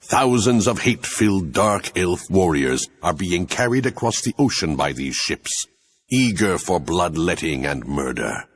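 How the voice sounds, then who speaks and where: An ominous male storyteller narrates a dark fantasy game. His voice is exceptionally deep, with a heavy creak and rough husk. The delivery is grim, dramatic and scripted. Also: lively intonation, unhurried, exaggerated voice. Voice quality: gravelly, rough.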